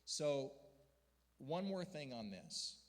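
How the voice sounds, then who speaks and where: A man's voice: fairly high pitch, big pitch swings, takes it slowly. A man giving an earnest church report and story to a gathering, building to emphatic peaks. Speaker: denominational church leader speaking to a district gathering. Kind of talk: sermon-style report with slides. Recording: long hall reverb.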